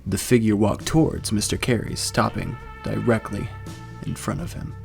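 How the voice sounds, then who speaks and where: A man narrating scripted fiction in a measured, dramatic storytelling way. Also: on the low side, steady tone, vocal fry, a little mellow, faint music behind.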